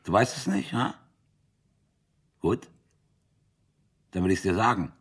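A deep, rough-edged male voice with a sardonic bite. a harsh military captain in a radio drama, interrogating with impatient, bullying authority. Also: animated, slow pace, a bit bright, heavily acted. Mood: accusing, taunting. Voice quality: low, husky.

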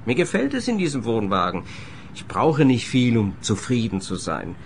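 A man's voice: medium pitch, sing-song, normal speed, slight background noise. Aggrieved man with swooping intonation. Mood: aggrieved, stubborn. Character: bitter, stubborn grown son living contentedly in a caravan. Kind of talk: radio play acting.

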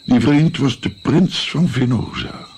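A man's voice: mid-range voice, lively intonation, a little slow, a little mellow, slight background noise. An actor playing an older musician and physician, recalling his naive youth in a reflective, hesitant, wry way in a radio play. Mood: wry, reminiscing.

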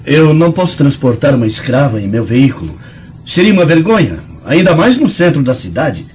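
A man's voice: a little high, big pitch swings, fairly quick, darkish tone, breathy edge, dull audio, exaggerated voice. A Brazilian-accented man playing a haughty young Roman nobleman in a radio drama, speaking in a pompous, declamatory way.

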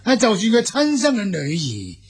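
A man with a Cantonese accent playing a smooth-talking, boastful military envoy in an audio drama, speaking formally and emphatically with a husky, gravelly voice. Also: high voice, wildly swooping pitch, exaggerated voice. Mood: stern warning. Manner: formal, emphatic, deliberate praise and veiled warnings.

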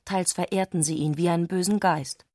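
A woman's voice: on the low side, fairly even intonation, brisk, darkish tone, no room sound, studio quality. A woman reading scripted literary prose as a narrator in a radio adaptation.

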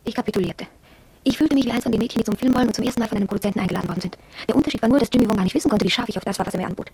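A woman's voice: a little high, rapid-fire, a little mellow, breathy edge. An actress playing an impressionable, wondering young shop girl who confides her experiences in a reflective radio drama monologue.

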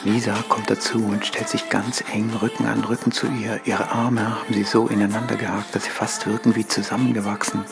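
Low, creaky-voiced man narrating over loud music. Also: flat delivery, brisk, few pauses. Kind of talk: scripted present-tense narration of an art performance.

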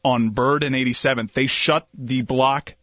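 A man's voice: mid-range voice, fairly even intonation, moderate pace, a bit bright, no room sound. A man from Miami on a sports talk radio show, enthusiastically recommending local spots. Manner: enthusiastic, opinionated. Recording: muffled dry studio audio.

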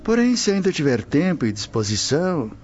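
A Brazilian-accented actor playing a genial, courteous old Roman official in a radio drama. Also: male voice, a little high, sing-song, brisk, a little mellow, slightly rough, highs cut off, faint music behind. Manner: genial, courteous, hesitant interjections.

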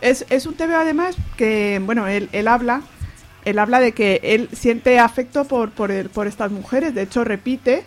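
A woman's voice: a little high, normal speed, faint music behind. A woman with an accent from Spain reviewing informally on the radio, repeating phrases and acting out quotes as she retells. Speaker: comics reviewer on a radio show.

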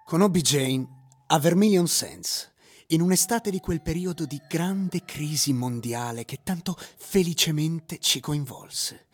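Young slacker guy telling his story, breathy husky voice, swoops around a lot, wry and excitable. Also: a little high, full character voice. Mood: wistful, wry. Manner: expressive, hesitant stammering repetitions. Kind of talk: theatrical first-person narration with acted dialogue.

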